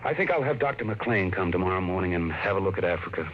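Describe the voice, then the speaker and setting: A man's voice: deep voice, animated, quick pace. A man playing a worried middle-aged father who talks to his children in a firm, stern manner, in 1950s radio drama acting. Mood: uneasy. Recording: muffled hissy 1950s radio broadcast.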